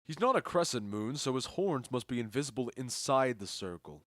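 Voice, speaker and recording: Man acting wry duke with lively intonation. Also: medium pitch, normal speed, no echo, clean studio sound, lightly acted. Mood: wry, amused. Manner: wry, amused commentary. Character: witty, indulgent duke watching an amateur play. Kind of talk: modernized Shakespeare audio drama comedy.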